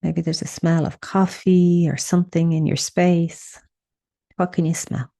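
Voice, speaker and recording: A woman leading a guided meditation, speaking in a soothing, unhurried way with gentle instructions and pauses. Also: fairly low pitch, fairly even intonation, creaky.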